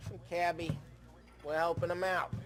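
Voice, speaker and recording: A man's voice: on the high side, little pitch movement, normal speed, a bit bright, close to an everyday voice. A man playing a streetwise, blunt gangster in a video game, casual and wisecracking. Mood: gruff, guarded. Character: streetwise, cocky mob enforcer, adult man. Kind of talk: video game character acting.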